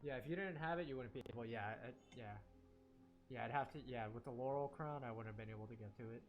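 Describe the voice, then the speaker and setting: A man's voice: medium pitch, quick pace, soft background music. A young man whose first language was Korean, chatting casually while live-streaming a game, rambling with lots of fillers and self-corrections. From United States.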